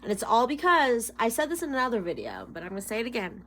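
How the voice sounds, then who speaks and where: A woman's voice: on the high side, huge pitch swings, quick pace, fairly bright tone. A woman in her thirties chatting casually to her viewers as a tarot reader, rambling, swearing freely and breaking into giggles. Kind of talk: casual rambling vlog chat.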